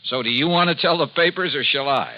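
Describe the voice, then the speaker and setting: An actor with an American accent playing a wry, self-assured hardboiled insurance investigator in a 1950s radio detective drama. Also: male voice, fairly high pitch, fast talker, lightly acted. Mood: brisk, self-assured. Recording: muffled, hissy old radio recording.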